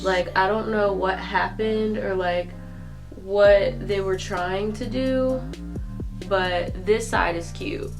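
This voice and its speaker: A woman's voice: medium pitch, steady tone, slow, music in the background. Congested young woman telling a story. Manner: chatty, lots of fillers like "like". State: congested from a cold. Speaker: YouTuber. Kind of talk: storytime vlog.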